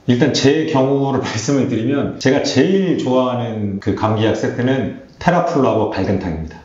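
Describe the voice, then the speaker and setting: A man's voice: mid-range voice, a little fast, darkish tone. A man speaking as a pharmacist, explaining health matters step by step in conversation, often confirming with short affirmations. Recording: roomy, slightly dull sound.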